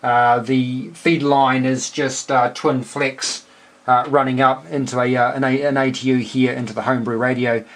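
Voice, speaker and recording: A man with a New Zealand accent chatting casually and technically as an amateur radio operator, explaining things in a halting way. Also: mid-range voice, level tone, fairly bright tone.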